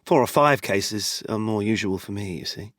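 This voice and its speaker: A man's voice: on the low side, moderate pace, fairly bright tone, dry recording, studio quality, lightly acted. An actor playing a smug, greedy con man in an audio drama, glib and self-satisfied.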